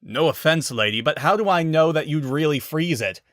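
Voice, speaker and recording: A man voicing a wary, excitable male scientist who talks in a loud, demanding, urgent way in a dramatic story reading. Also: on the high side, normal speed, no room sound, studio quality, voice pushed up.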